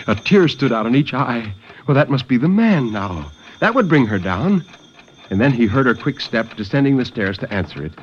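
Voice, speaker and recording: A man giving a dramatic, deliberate and intense reading of suspense fiction in 1950s radio style. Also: mid-range voice. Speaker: story narrator. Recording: muffled, noisy 1950s radio recording.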